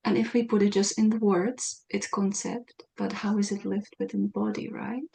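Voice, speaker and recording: Animated woman host thinking aloud. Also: mid-range voice, moderate pace, creaky. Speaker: podcast host. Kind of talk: casual conversation.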